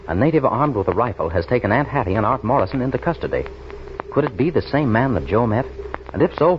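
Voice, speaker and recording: Male serial announcer, brisk and highly animated, theatrical recap style, muffled old radio sound. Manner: theatrical, urgent questioning delivery. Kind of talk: dramatic 1930s radio recap narration. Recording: dull, noisy old radio recording.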